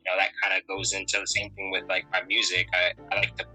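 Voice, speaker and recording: A man's voice: low-pitched, completely flat delivery, fast, sharp tone, faint music behind. A man in his thirties from Connecticut, a guest telling stories in a casual, rambling way with many fillers. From Connecticut, USA. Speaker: interview guest, musician and photographer. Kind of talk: casual conversational storytelling.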